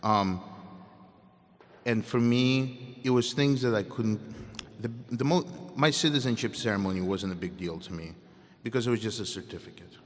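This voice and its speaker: A man's voice: lowish voice, normal speed. A young man from Ukraine with a Russian accent, answering student questions candidly at a convocation and often breaking off and restarting. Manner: candid, self-interrupting, conversational. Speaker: guest speaker. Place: large hall. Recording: long hall reverb.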